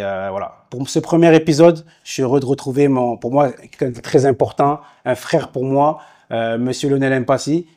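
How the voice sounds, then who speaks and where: A man's voice: medium pitch, dark tone. A man hosting a casual sports podcast, talking in a hesitant way with many fillers and restarts. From France. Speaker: podcast host, former footballer. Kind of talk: casual interview chat.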